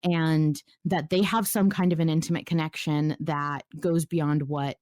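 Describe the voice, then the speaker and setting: A woman's voice: low voice, fairly even intonation, unhurried, a little mellow, no echo, studio quality. A woman chatting casually as a podcast host, effusive and slangy, with frequent asides. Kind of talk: casual fan discussion.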